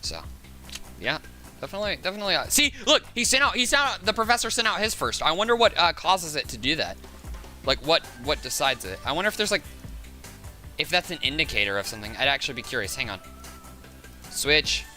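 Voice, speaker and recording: A man with a raspy, hoarse voice chatting casually on a game livestream, rambling and thinking aloud. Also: on the high side, big pitch swings, brisk, sharp tone, soft background music. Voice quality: raspy, sore voice. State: hoarse from hours of talking. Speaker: game streamer, host. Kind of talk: casual livestream chat while gaming.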